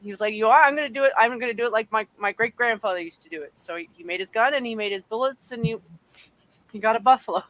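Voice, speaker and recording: A woman's voice: on the high side, fast, fairly bright tone, low-quality recording. A Canadian woman chatting casually on a podcast, rambling with lots of fillers and restarts. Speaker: podcast co-host. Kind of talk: casual chat.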